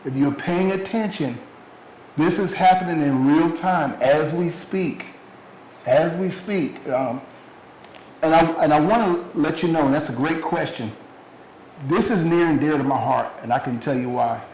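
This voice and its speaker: A man's voice: a little high, unhurried, a little mellow. Earnest man presenting in an echoey room. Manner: earnest, repeats phrases for stress. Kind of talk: public lecture with questions. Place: museum lecture room. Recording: echoey room, faint background noise.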